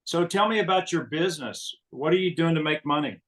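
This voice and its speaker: A man's voice: fairly high pitch, brisk, fairly bright tone. A man chatting casually as an interviewer in a conversation. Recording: distant from the microphone.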